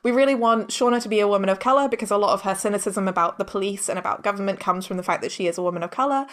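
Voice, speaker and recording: A woman's voice: mid-range voice, very fast, fairly bright tone. A woman speaking as a podcast guest in casual interview chat, effusive and earnest, often dropping "like" into her sentences. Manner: effusive, earnest, peppers speech with "like". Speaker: podcast guest, audio drama director and producer.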